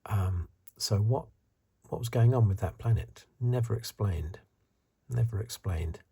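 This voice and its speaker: A very breathy, soft-spoken man delivers ASMR commentary, often slipping into a whisper. His voice is deep and dark. He muses in a conversational, hesitant way, as if thinking aloud. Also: a little slow. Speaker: ASMR reviewer.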